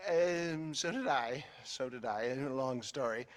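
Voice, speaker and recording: An actor playing a rambling, flustered old fraudster in a video game, with a theatrical manner. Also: male voice, medium pitch, measured pace, close to an everyday voice. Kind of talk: video game cutscene acting.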